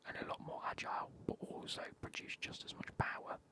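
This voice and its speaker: A man, whispering as he banters with his live stream chat and swears now and then. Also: a little fast.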